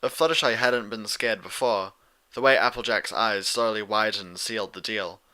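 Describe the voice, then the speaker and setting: A man's voice: fairly low pitch, normal speed, sharp tone, low-quality recording. A man reading a story aloud as a scripted audiobook narrator.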